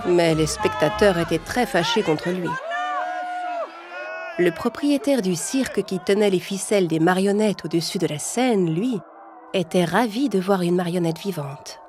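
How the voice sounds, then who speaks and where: Calm woman reading a fairy tale. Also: lowish voice, a little slow, a crowd in the background. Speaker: narrator. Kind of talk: scripted storybook narration. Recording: music playing underneath.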